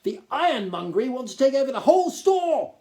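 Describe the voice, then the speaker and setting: A man's voice: very animated, brisk, darkish tone, exaggerated voice. A man voicing a pompous, hot-tempered gnome duke who lectures and blusters. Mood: indignant. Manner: haughty, blustering, booming.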